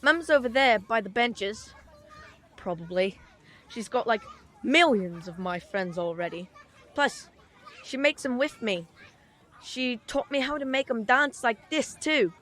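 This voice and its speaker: A woman's voice: fairly high pitch, animated, a little slow, fairly bright tone. A woman voicing a chatty, cheeky little British boy in an audio drama, with a frank, rambling, childlike manner. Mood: earnest, shy. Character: chatty, cheeky, earnest little boy who loves comics.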